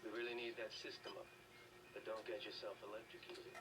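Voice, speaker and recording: A man's voice: lowish voice, little pitch movement, brisk, far from the mic, over music, close to an everyday voice. A man playing a mild, polite lab technician in a video game, speaking matter-of-factly. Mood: mild, informative.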